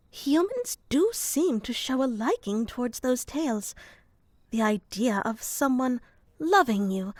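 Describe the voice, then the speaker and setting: Woman playing a slow, soft-spoken moth girl. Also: high voice, dry recording, full character voice. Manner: soft, slow and deadpan, slips into whispers. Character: earnest young female moth girl sleep helper. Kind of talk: ASMR roleplay.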